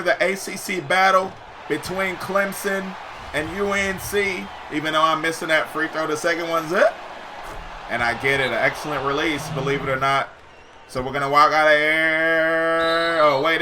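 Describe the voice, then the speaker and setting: Man, gamer commentary, high voice, very bright tone, excitable, slangy, shouts at big plays, a bit hoarse. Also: slight background noise. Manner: slangy, says "yo" often. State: voice getting hoarse. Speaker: gaming YouTuber. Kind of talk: live gameplay commentary.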